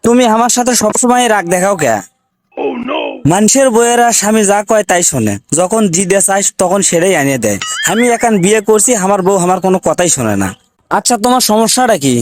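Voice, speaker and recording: A man's voice: high voice, lively intonation, a little fast, faint music behind. A man voicing an exasperated, pleading village husband in a comic cartoon, with a North Bengal accent, complaining in questions. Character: exasperated, pleading village husband who turns on his wife. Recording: clearly noisy recording with clicks.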